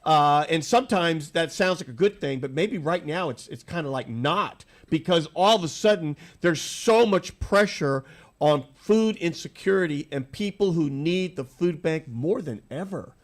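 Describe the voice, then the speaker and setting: A man's voice: a little high, animated, normal speed. A man hosting a radio talk show, a bit nasal from allergies, asking long rambling questions in a casual, upbeat way. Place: radio studio.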